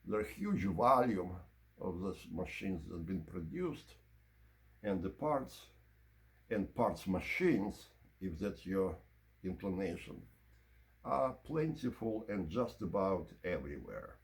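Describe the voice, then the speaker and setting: Guy reviewing gear, lowish voice, goes up and down a lot, explains stuff in short chunks. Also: sing-song, takes it slowly, vocal fry. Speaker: presenter of audio equipment review. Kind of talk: informal product review and demonstration to camera.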